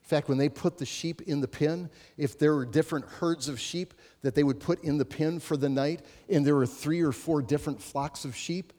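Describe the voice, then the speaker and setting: Animated, higher-pitched male preacher. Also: normal speed, hardly any pauses. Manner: animated preaching with rising emphasis, then quieter teaching. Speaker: pastor. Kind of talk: sermon.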